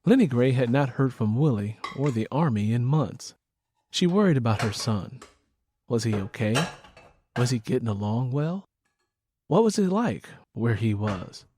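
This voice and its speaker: A man's voice: medium pitch, expressive, unhurried, background noise. A man reading a scripted story as an audiobook narrator. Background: music.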